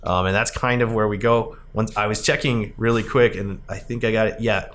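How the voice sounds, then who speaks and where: A man hosting a casual movie discussion podcast, talking in an animated, emphatic, rambling way. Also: fairly low pitch, a little fast, slight background noise. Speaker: podcast host.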